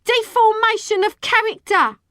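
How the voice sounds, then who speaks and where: A woman voicing a feisty, indignant working-class girl in an audiobook, shouting and jeering in slangy speech. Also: extremely high pitch, unhurried, no echo, studio quality, voice pushed up, heavily acted. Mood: gleeful. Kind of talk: audiobook character acting.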